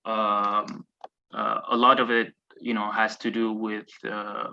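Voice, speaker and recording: A man with a lowish, flat voice and a bright, forward tone talks haltingly, filling pauses with frequent ums and restarts. His audio sounds dull and lo-fi, like a muffled call. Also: creaky. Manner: halting, many fillers and restarts. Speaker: migrant rights advocate. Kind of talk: online panel discussion. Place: in Bahrain.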